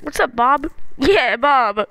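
A woman doing an amateur, playful, exclamatory fan dub of animated shorts, with improvised-sounding dialogue. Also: high voice, takes it slowly. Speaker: amateur voice actor.

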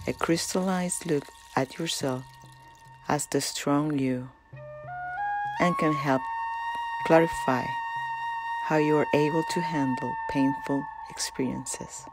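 A meditation guide narrating a guided practice in a gentle, deliberate, instructional manner. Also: mid-range voice. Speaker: meditation guide, channel host. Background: loud flute meditation music.

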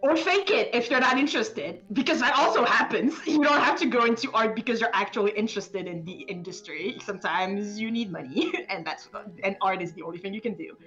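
A woman's voice: mid-range voice, a little fast, hardly any pauses, a bit bright, muffled recording, soft background music. A woman chatting casually as a guest, with a giggly, self-deprecating and wry way of talking. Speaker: game developer and animator, guest. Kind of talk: casual conversational discussion.

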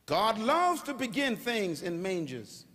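An older Bahamian man preaches from a large stage into a handheld mic. His voice sits high and rises to shouts as he calls on the crowd to answer. A long reverb from the hall trails each phrase. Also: very animated, unhurried. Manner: preaching with call-and-response, rising to shouts. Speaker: pastor. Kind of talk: sermon.

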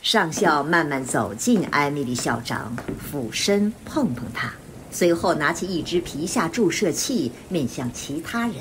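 A woman's voice: deep voice, expressive, unhurried, background noise. A woman delivering scripted narration as the narrator of a radio drama. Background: music.

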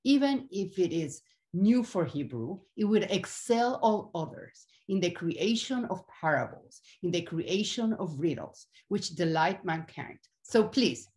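A woman's voice: on the low side, lively intonation, a little slow, darkish tone. A middle-aged woman playing a proud, regal Wisdom figure in a grandiose theatrical monologue. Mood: proud, declamatory. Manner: grandiose, declamatory. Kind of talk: theatrical monologue from a translated Renaissance comedy.

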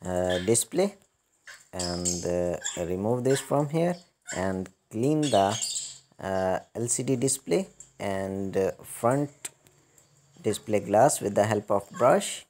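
Guy doing a repair tutorial, slow and halting, bit of background noise. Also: on the low side, lively intonation, a little mellow. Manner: halting, repetitive phrasing. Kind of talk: step-by-step hands-on instruction.

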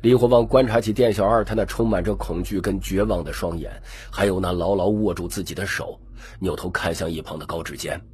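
A man's voice: low-pitched, steady tone, fairly quick, a little mellow, slightly rough. A man narrating a scripted, dramatic audiobook story.

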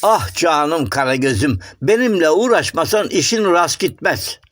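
Lilting, high-pitched polite cartoon gentleman. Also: big pitch swings, unhurried, no echo, clean studio sound. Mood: cheerful. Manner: courteous, coaxing, sing-song explaining. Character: polite, chatty, eager, well-mannered male shadow-puppet gentleman. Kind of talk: children's cartoon voice acting.

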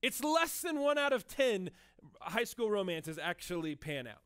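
A man with a notably high voice preaches from a church stage. He talks in an easy, conversational way, often checking in with "right?", and gets loud and lively at his high points before dropping soft again. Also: a little slow, smooth. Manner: animated, conversational preaching with frequent "right?" asides. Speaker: pastor. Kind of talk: sermon. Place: church stage with band gear.

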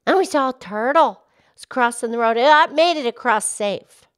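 Woman voicing a high-pitched, chatty pig puppet. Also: expressive, normal speed, clean tone, close to an everyday voice. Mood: eager, explaining. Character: cheerful, chatty young pig puppet explaining animal facts. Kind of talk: children's puppet show dialogue.

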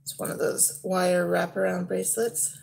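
A woman's voice: fairly low pitch, flat delivery, gravelly, a little mellow, a little hiss or hum. A woman in her thirties chatting casually through a thrift haul at home, describing each item as she handles it. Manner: unhurried, trailing off while handling items. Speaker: vlogger. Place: living room at home.

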